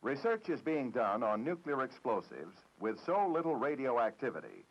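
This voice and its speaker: Narrator: American man, formal matter-of-fact 1960s documentary read, strongly modulated mid-range pitch, lo-fi old film audio. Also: sing-song, normal speed. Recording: dull, dated 1960s film soundtrack.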